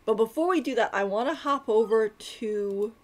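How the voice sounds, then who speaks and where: A woman's voice: a little high, normal speed. A woman giving casual live commentary as she plays a game, giggly and thinking aloud. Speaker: game streamer. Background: game music.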